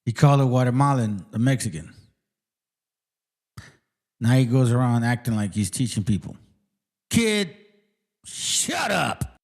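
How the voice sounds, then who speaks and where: A man's voice: mid-range voice, normal speed, long pauses, warm tone. A man on an online reaction stream, mid-range voice, cutting in with scorn, mockery and curses. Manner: scornful, profane, mocking. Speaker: commentator reacting to a played clip. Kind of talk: informal reaction commentary.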